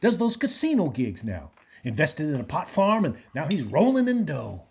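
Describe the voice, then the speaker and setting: An actor playing an easygoing slacker handyman and musician in a radio play, with laid-back, slangy speech and a husky voice. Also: a little high, wildly swooping pitch, normal speed, a little mellow, a little hiss or hum.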